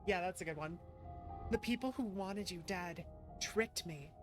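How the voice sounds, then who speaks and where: A woman game streamer chats casually over clearly audible game music. She thinks aloud hesitantly, drifting between her own commentary and reading options off the screen. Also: fairly low pitch, measured pace. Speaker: streamer playing a visual novel. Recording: music playing behind the voice.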